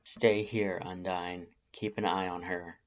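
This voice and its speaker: A man voicing a protective skeleton monster with a coldly calm, casual menace in a story reading. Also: low-pitched, slow, dull audio, voice pushed down. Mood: cold, resolved.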